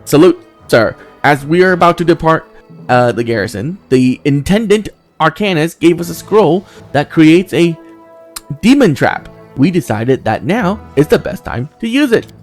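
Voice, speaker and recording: A man's voice: on the high side, very animated, normal speed, faint music behind, voice pushed up. A man voicing a formal, earnest crusader knight of wounded dignity in a video game, with courteous, ornate phrasing.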